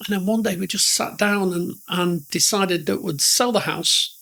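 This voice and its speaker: Chatty northern English man, higher voice. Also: moderate pace, creaky, sharp tone. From northern England. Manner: rambling, self-deprecating, frequent restarts. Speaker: podcast guest. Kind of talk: conversational storytelling.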